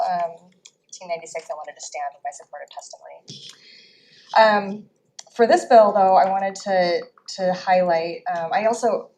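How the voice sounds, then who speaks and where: Woman advocate, low-ish voice, earnest hearing testimony, faint noise in the background. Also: a little mellow, poor audio. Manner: earnest, many fillers. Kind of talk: formal public testimony.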